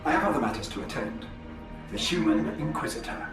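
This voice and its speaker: An actor playing a haughty, commanding alien lord in a video game, speaking formally and imperiously. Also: male voice, a little high, lively intonation, fairly quick, distant mic, close to an everyday voice. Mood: cold, dismissive. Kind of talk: scripted video game voice acting. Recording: echoey, set back from mic, music behind.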